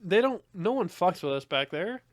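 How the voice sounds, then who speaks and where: A young man co-hosting a podcast, with a deep voice and a relaxed, rambling way of chatting. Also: moderate pace, dry recording, clean studio sound. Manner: laid-back, laughing, trails off mid-sentence. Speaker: podcast co-host. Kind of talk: casual chat.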